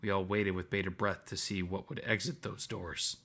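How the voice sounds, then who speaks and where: A man's voice: deep voice, fairly even intonation, moderate pace, gravelly, fairly bright tone, slightly rough. A man reading first-person story narration aloud from a visual novel.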